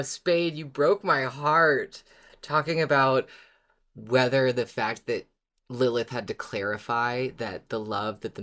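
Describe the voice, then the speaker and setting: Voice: mid-range voice, fairly bright tone. A podcast co-host in a casual fan discussion, rambling tentatively while thinking aloud.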